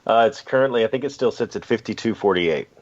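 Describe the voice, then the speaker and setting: A man's voice: fairly low pitch, lively intonation, quick pace, a little mellow. A man co-hosting a sports podcast, giving short casual comments on picks and records. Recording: muffled lo-fi podcast audio.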